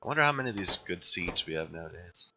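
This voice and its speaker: Hoarse man thinking aloud over gameplay. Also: low voice, lively intonation, quick pace, bright, forceful tone, a little hiss or hum. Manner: thinking aloud, self-correcting. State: hoarse from being sick. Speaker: let's play host. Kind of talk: casual gameplay commentary.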